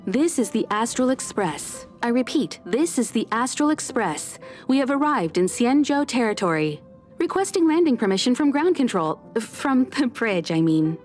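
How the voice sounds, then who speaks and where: A woman's voice: a little high, very animated, moderate pace, darkish tone, faint music behind. A woman voicing a poised, assured starship navigator in a video game, composed and professional. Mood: official.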